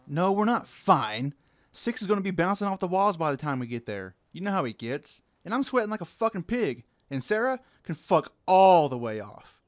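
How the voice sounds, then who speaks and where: A man's voice: sits high, very animated, normal speed, no echo. A man playing a hefty, irritable young rock musician in a horror story, complaining in profane slang. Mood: irritated. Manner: griping, profane slangy talk. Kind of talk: dramatized horror audiobook dialogue.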